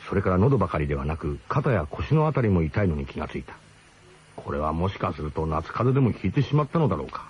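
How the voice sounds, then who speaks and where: A man's voice: sits low, darkish tone, slightly rough. A man narrating a radio drama in the first person, in a colloquial, wryly literary storytelling manner. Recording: dull, slightly noisy old radio broadcast.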